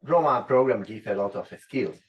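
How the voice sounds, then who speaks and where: A man giving a brief, measured comment on a panel. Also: mid-range voice. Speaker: roundtable participant. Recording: far-off, muffled mic.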